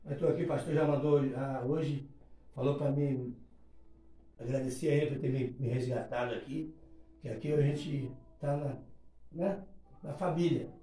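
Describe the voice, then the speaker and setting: A man's voice: medium pitch, fairly even intonation, normal speed, long pauses, dark tone. Brazilian man testifying, distant and roomy. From Rio de Janeiro, Brazil. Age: middle-aged, around 50s. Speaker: worshipper giving testimony, gospel songwriter. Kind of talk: informal spoken testimony at a home worship gathering. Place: small living room, indoors. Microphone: phone held a few metres away. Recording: roomy phone recording from across the room.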